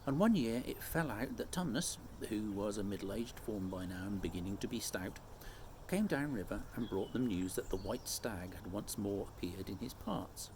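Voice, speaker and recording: A man reading a children's story aloud expressively, phrase by measured phrase. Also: lowish voice, expressive. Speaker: storybook reader. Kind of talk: expressive scripted story narration. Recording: faint background noise.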